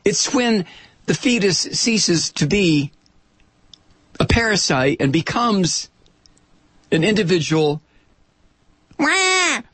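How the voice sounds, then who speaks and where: Male American radio host, irreverent and sarcastic, with a husky, creaky voice and dry, close sound. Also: dry recording. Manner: dramatic emphasis, swinging from low asides to shouts. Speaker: political talk show host. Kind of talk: opinionated radio monologue, reading and commenting on listener mail and news.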